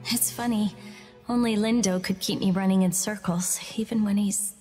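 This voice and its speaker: An actress playing a caring, worried young woman soldier in a game dub, speaking gently and earnestly. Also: mid-range voice, steady tone, normal speed, lightly acted. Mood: wistful, determined. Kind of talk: anime game English dub acting. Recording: reverberant, music behind.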